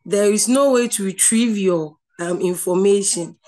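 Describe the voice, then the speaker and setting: A woman lecturer with a Ghanaian accent talks through slides on a video call. She explains patiently, repeating herself and restarting sentences. Her voice sits at an ordinary middle pitch. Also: a little slow, darkish tone. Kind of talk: online lecture over slides.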